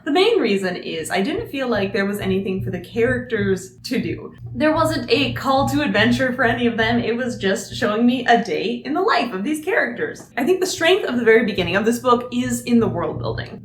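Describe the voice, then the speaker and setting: A woman's voice: fairly high pitch, a little hiss or hum. A young woman giving an enthusiastic, emphatic casual book review to camera.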